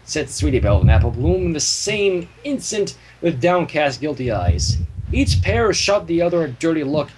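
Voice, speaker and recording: Expressive male storyteller with lively pitch. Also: normal speed, a little hiss or hum, rough recording. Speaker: fan fiction reader and narrator.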